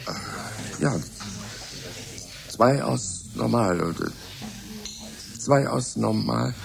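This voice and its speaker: An actor in a German radio drama playing a distracted senior scientist, absent-minded, halting and repeating himself. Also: male voice, mid-range voice, expressive, vocal fry, a crowd in the background, exaggerated voice. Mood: dithering.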